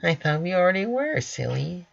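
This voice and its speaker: A man's voice: sits high, big pitch swings, moderate pace, gravelly, muffled recording, voice pushed up, exaggerated voice. A man voicing a bubbly, sweet, playful young female cartoon pony in a story reading. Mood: playful, giggly. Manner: playful, quipping.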